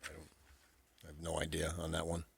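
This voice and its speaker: A man co-hosting a sports talk show in casual banter, blunt and quick to disagree. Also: rumbling low, monotone, vocal fry, breathy edge. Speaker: sports radio co-host.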